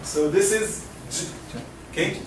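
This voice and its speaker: A man's voice: sits high, takes it slowly. A man from Egypt teaching Quran recitation to a class, patiently modeling each sound and having students repeat it. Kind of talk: classroom lesson with call-and-response drills. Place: lecture classroom. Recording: roomy classroom, mic far off, noisy.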